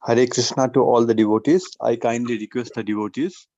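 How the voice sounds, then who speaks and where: A man at an average pitch speaks as a class moderator, making polite and formal announcements. His video call audio is dry and slightly dull. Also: fairly even intonation, a little fast, a little mellow, no room sound.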